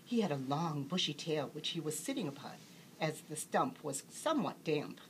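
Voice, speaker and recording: A woman reading a children's bedtime story aloud as an expressive storyteller. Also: sits low, moderate pace, a little hiss or hum.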